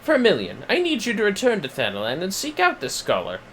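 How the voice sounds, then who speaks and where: A man voicing an earnest, eloquent fantasy game strategist in a formal, measured, declamatory way. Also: high voice, huge pitch swings, a bit bright, slight background noise, voice pushed up, exaggerated voice. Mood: thoughtful, resolute. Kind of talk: acted reading of fantasy game dialogue.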